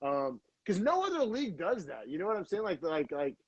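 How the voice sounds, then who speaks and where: High-voiced rambling young man. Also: wildly swooping pitch, brisk, husky, no room sound. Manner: rambling, many fillers and restarts. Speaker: podcast co-host. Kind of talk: casual chat. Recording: dull, dry lo-fi sound.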